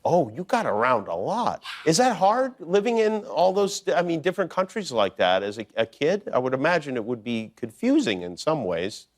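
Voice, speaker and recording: A man's voice: on the high side, big pitch swings, normal speed, hardly any pauses. A man hosting a casual talk show interview, joking playfully and laughing often with his guest.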